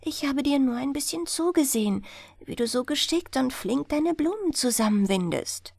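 A woman's voice: high-pitched, lively intonation, moderate pace, gravelly, no room sound, studio quality. Woman voicing a light, coaxing water nixie. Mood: coaxing, friendly. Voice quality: bright, light voice. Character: mysterious, friendly water nixie disguised as beautiful woman. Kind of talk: bedtime fairy-tale reading.

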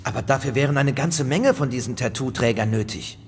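Ernster, loyaler Gefährte, mittlere Tonlage. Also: male voice, mid-range voice, a little fast, breathy edge. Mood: curious, tense. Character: earnest, loyal male ally of the inspector. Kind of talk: naturalistic audio drama acting. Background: music.

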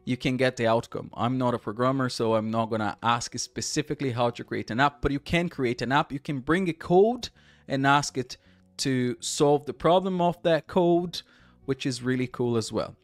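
A man's voice: medium pitch, moderate pace, no room sound, faint music behind. Portuguese-accented man teaching in a dry room. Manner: chatty, repeats words and restarts often. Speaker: tech tutorial host. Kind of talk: screen-share tutorial walkthrough.

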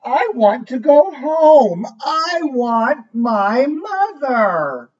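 A woman's voice: medium pitch, wildly swooping pitch, takes it slowly, husky, full character voice. A woman voicing a small, anxious baby bird that is lost, pleading and calling out, on a dull lo-fi recording. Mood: frightened.

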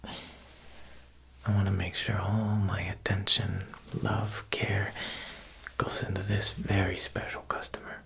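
A young man playing a smooth, quietly menacing Italian mob boss tailor in an ASMR roleplay, speaking softly and unhurriedly at a close mic, with insinuating pauses. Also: low voice, completely flat delivery, heavily acted, poor audio. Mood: attentive, courteous. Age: young adult. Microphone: close ASMR microphone.

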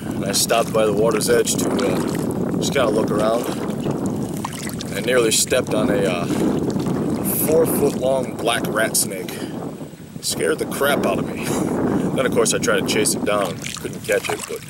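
Thirties male outdoor vlogger, laid-back and rambling, low-ish pitch, close camera outdoors with wind noise. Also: measured pace, long pauses. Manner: relaxed, rambling, frequent "uh" fillers. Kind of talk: casual outdoor vlog. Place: outdoors on a pond in a kayak. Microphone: camera close at arm's length.

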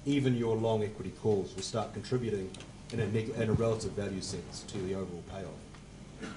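Man, 40s, panelist, measured, technical, low, mellow, faint room noise. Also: fairly even intonation. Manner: measured, technical, trailing off at phrase ends. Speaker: panelist, fund manager. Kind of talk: panel discussion answer. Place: conference room on a panel table. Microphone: table mic on stand.

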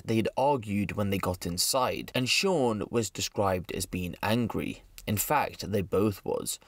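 Low-pitched man narrating true crime. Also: no room sound, studio quality. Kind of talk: scripted narration.

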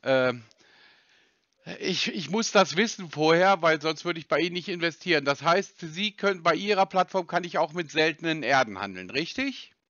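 A man with a bright, forceful voice plays a naive customer on a phone call, asking probing questions and arguing. His voice sits slightly high. The audio is dry and a bit dull. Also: lively intonation, moderate pace, dry recording. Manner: probing, mock-naive, argumentative. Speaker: scam baiter posing as a customer.

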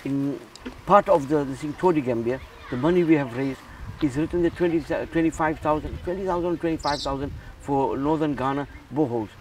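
A man's voice: fairly high pitch, a little fast, hardly any pauses, a little mellow, recorded from a distance, slight background noise, rough recording. A man from Zimbabwe who runs a charity, talking earnestly in a casual interview and often repeating words as he restarts. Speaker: charity founder.

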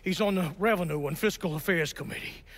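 A scheming mobster with a folksy drawl. His voice is fairly high for a man and heavy with gravel, slick and crude, in a crime game's dramatic style. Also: fast talker. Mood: sly, persuasive. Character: scheming, defensive gangster, the boss's brother. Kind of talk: video game dramatic acting.

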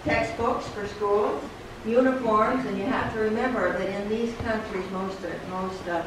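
A woman's voice: medium pitch, fairly even intonation, measured pace. Chatty woman in an echoey church. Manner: chatty and enthusiastic, with laughter. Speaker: church member giving an announcement. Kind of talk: casual announcement to a congregation. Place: small wooden church sanctuary, at a pulpit. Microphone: stand mic at the pulpit, far camera. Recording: echoey church room from a distant camera, background noise.

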